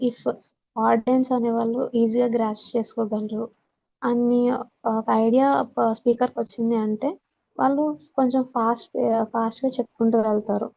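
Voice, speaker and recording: A woman teacher with a Telugu Indian accent explains points in a fairly high, very flat voice with a creaky edge. She mixes in English terms and pauses hesitantly. The audio is dull. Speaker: teacher giving a communication skills lesson. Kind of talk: explanatory lecture, reading out points then explaining.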